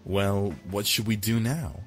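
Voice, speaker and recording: A man's voice: fairly low pitch, steady tone, measured pace, breathy edge, faint music behind. An actor voicing a serious, level-headed, wary young man in a visual novel game.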